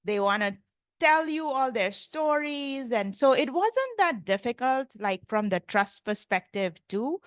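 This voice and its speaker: A woman's voice: high voice, very animated, measured pace, no echo. Chatty woman lecturer, dry close sound. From India. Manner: chatty, many fillers like "like" and "you know". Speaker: professor lecturing. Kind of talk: casual conference lecture.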